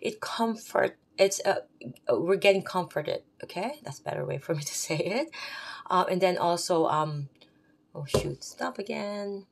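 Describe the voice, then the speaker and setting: A woman's voice: fairly low pitch, animated, a little slow, creaky. A woman with a Filipino accent giving an unscripted, rambling talk about her faith to her viewers, with chatty asides. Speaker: faith vlogger. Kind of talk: unscripted religious talk to camera.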